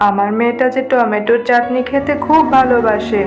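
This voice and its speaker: A woman's voice: a little high, brisk, music in the background, lightly acted. A woman voicing a haughty, stingy tigress mother in a cartoon, bossy and scornful. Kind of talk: cartoon voice acting.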